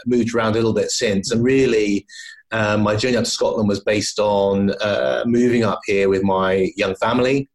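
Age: middle-aged. Sex: man. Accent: English, from London. Role: founder being interviewed. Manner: thoughtful, hesitant restarts. Pitch: on the low side. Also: steady tone, normal speed, low-quality recording. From London, England. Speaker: company founder, podcast guest. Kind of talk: conversational interview.

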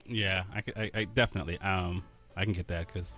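A man with a fairly low voice hosts a relaxed interview, tripping over his words with repeated "and, and, and" before landing a question. He laughs easily between his thoughts. Faint music plays behind him. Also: fairly quick. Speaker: host. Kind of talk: casual interview chat.

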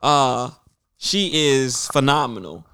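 A man's voice: medium pitch, animated, slow, fairly bright tone, smooth, no echo, clean studio sound. A man co-hosting a casual podcast, telling long rambling stories and putting on quoted voices. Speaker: podcast co-host.

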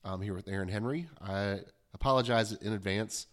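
Guy with a cold, kinda nasal and stuffy, low voice, chatty host. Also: moderate pace, fairly bright tone. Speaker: podcast host. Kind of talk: hosting an interview.

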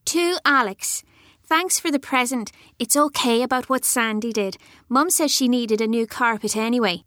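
A woman's voice: fairly high pitch, normal speed, a bit bright, no echo, studio quality, lightly acted. An actress reading letters as a frank little schoolgirl, talking in plain, childlike short sentences. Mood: cheeky. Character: outspoken little schoolgirl writing frank notes to friend.

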